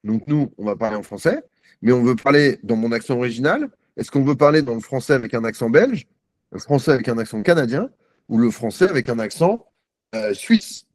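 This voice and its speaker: A man giving a live software demo on a video call, chatty and self-mocking, thinking aloud with many fillers. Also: mid-range voice, expressive, fairly quick, no room sound, studio quality. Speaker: guest presenter.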